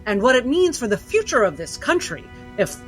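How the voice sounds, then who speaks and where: A woman's voice: fairly high pitch, very animated, brisk, soft background music. A relentless, cold female interrogator, dramatically inflected. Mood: accusing. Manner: formal, pointed, pressing. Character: relentless, coldly probing female interrogator questioning a doctor. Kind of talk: 1960s cinematic drama acting.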